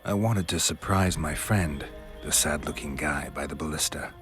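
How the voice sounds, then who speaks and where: A man voicing a stoic monster hunter with a dry, deadpan way of talking in a video game. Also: very deep voice, normal speed, music in the background. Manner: terse, deadpan.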